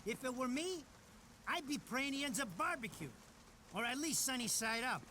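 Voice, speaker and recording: Cowardly gangster sidekick, very high-pitched, bright sharp tone, whiny, profane, video game cutscene. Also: male voice, expressive, normal speed, a little hiss or hum, heavily acted. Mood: cocky, griping. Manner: wisecracking, whiny, profane, slangy. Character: squat, nervous, foul-mouthed wiseguy henchman, talkative and cowardly.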